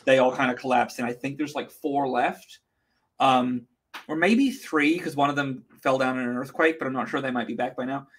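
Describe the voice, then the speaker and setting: A man in his thirties from New Zealand, a guest telling stories on a video call in a wry, deadpan way. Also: mid-range voice, moderate pace, husky. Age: 30s. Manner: wry deadpan storytelling, many fillers. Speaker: guest comics creator. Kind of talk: casual interview chat. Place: home room, indoors. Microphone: video call webcam.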